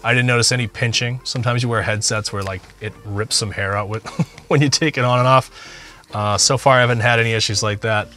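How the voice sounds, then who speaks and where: A man's voice: medium pitch, fairly even intonation, a little fast, bright, forceful tone. A man in his forties giving a casual, conversational product review to camera, explaining things as he goes. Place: home music studio room.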